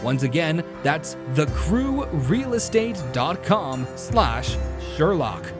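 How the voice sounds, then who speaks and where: Man as lively announcer over loud music. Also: fairly high pitch, slow pace. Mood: upbeat, curious. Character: genial male radio announcer prompting the storyteller. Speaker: announcer and host. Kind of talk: audio theatre announcing, sponsor read.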